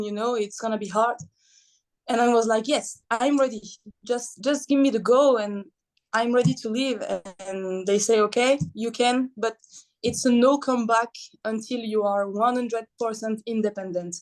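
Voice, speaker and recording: A young woman from Belgium, a guest athlete telling her story in a casual, animated interview, laughing often. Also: fairly high pitch, poor audio. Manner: animated, laughs often, non-native phrasing.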